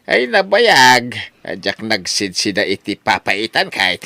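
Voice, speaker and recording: A man performs an excitable village husband in an audio drama. He grumbles and exclaims, his pitch jumping about in an agitated way. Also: a little slow, creaky, no echo, full character voice. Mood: grumbling. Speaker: drama voice actor. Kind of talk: Ilocano audio drama acting.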